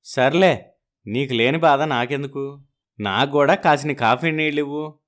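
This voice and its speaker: A man's voice: mid-range voice, unhurried, fairly bright tone, natural voice. An actor playing a grumbling, sceptical middle-aged husband and father in a cartoon story, teasing and sardonic.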